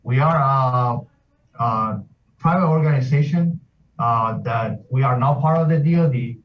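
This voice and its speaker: A military man from South America, explaining his heritage group at a steady pace, pausing often with "uh". He sounds distant and muffled, as if on a video call picked up from across the room. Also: medium pitch. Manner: steady, hesitant with many uhs. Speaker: association president, military sergeant. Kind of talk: remote video interview.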